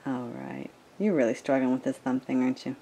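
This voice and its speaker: A woman's voice: extremely low pitch, little pitch movement, brisk, creaky, dark tone, slightly rough. A middle-aged woman chatting casually to her children, relatives and the camera as a family vlogger. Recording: noisy handheld home-video recording.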